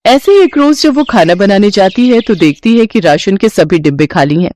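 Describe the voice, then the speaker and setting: Calm woman storybook narrator. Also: mid-range voice, normal speed.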